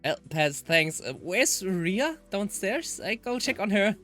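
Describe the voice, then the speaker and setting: A man reads an earnest, easily flustered young hero of a visual novel. His delivery is animated, with faint music behind it. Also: high voice, takes it slowly, pitched above the performer's own voice, full character voice.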